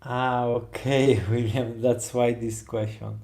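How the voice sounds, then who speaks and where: A man from Poland with a Polish accent mixed with Italian, chatting softly in halting English on a live stream while he carves wood and answers chat questions. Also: mid-range voice, little pitch movement. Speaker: woodcarving livestreamer.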